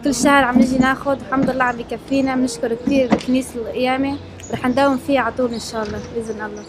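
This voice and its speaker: A young woman with a Lebanese Arabic accent, giving a quick, plain testimony outdoors. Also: high voice, steady tone, heavy background noise. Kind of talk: testimony interview. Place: outdoors by a building.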